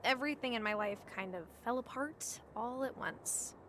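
A woman's voice: a little high, moderate pace, fairly bright tone, a little hiss or hum, lightly acted. An actress voicing a friendly, wry and easygoing young woman in a cozy video game.

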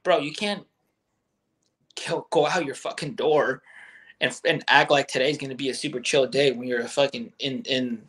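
A man's voice: medium pitch, steady tone, moderate pace, fairly bright tone. A man in his thirties, a guest business owner, talking bluntly and with lots of swearing in a candid chat over a video call from a bedroom at home. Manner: blunt, profane, emphatic.

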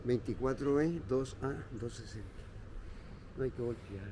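Chatty older man on a noisy street. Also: medium pitch. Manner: talkative, halting broken English with repeats. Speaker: local passerby giving directions. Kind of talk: casual street conversation. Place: residential street outdoors. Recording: street noise behind.

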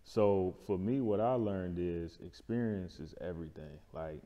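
A man's voice: low voice, a little slow, mellow, dull audio. A man, talking casually as an interview guest and explaining things in colloquial terms, often checking in with the listener. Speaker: barbershop owner, interview guest. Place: indoor lounge-style studio. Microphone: lapel mic. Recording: reverberant room.

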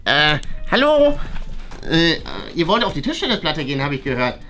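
A woman's voice: low-pitched, very animated, moderate pace, fairly bright tone. Lady doing a nerdy schoolboy for a kids story, stammery and pedantic, voice jumps up and down, bit of music. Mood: nervous, hopeful. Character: nerdy, awkward schoolboy, a rule-abiding loner. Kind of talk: children's play-acted school story.

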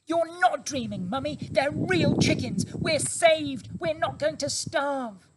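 A little boy fox from a storybook, speaking in a very high, bright voice. He gushes breathlessly, thrilled and eager, his voice leaping all over the place. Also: slow, heavy background noise. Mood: excited. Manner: breathless, bursting with excitement. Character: excited young male fox cub, eager.

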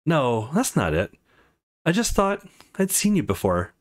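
Guy reading as a guarded young college dude in a visual novel, blunt, pitch moves around a lot. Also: sing-song, measured pace.

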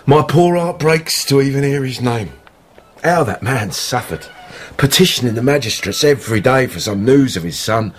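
An actor playing a talkative, shabby innkeeper in a radio drama, gossipy and ingratiating. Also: male voice, medium pitch, lively intonation, normal speed, slight background noise, lightly acted. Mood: mournful gossiping.

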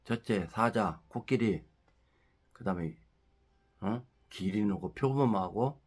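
A man's voice: lowish voice, slow pace, slightly rough. A middle-aged man with a stuffy head cold, ranting casually about politics with rhetorical questions. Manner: ranting, rising heated at points with rhetorical questions. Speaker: political commentary channel host.

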